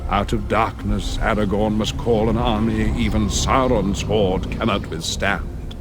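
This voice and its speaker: An actor playing a grave, wise old wizard, narrating war plans in a solemn, portentous way. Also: male voice, on the low side, fairly even intonation, unhurried, lightly acted. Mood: grave, foreboding. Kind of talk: dramatic scripted narration. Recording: orchestral music behind the voice.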